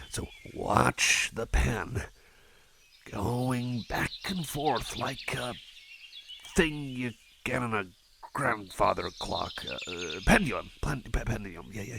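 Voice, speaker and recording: A man's voice: fairly low pitch, vocal fry, fairly bright tone, a little hiss or hum, exaggerated voice. A man playing a shy, friendly cyborg chum in an ASMR roleplay, talking in a hesitant, stammering, rambling way. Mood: gentle, coaxing. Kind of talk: personal attention ASMR roleplay.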